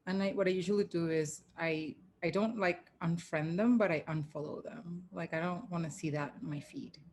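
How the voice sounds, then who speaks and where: A woman's voice: on the low side, steady tone, moderate pace, darkish tone. A woman co-hosting a podcast, chatting casually, with a little noise in the recording. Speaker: podcast co-host. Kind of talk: casual conversational panel chat.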